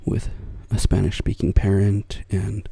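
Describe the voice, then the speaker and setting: Soft-spoken, gravelly, breathy American man. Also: on the low side, completely flat delivery, a little slow, dry recording. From United States. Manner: soft-spoken, often dropping to a whisper; meandering, self-correcting, chuckles softly. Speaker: ASMR creator. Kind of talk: soft-spoken ASMR rambling chat.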